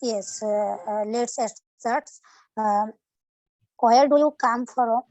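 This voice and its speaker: Woman student on a video call, slow and halting, lots of ums, fairly high voice. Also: darkish tone, dry recording, highs cut off. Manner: hesitant, many fillers, searching for words. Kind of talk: classroom practice.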